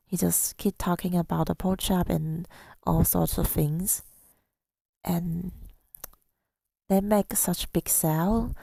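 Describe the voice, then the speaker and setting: A woman telling a story in soft-spoken ASMR style, gentle and unhurried, slipping toward a breathy whisper, close to the microphone. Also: on the low side, no echo. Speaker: ASMR audio creator.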